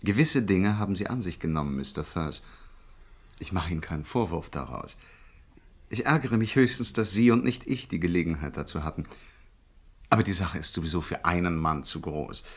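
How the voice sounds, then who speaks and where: A male crime boss of about forty in a radio thriller. The voice is low and somewhat rough. He is genial and courteous on the surface, calculating and persuasive underneath. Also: animated, moderate pace, exaggerated voice. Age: around 40. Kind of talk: radio crime drama acting.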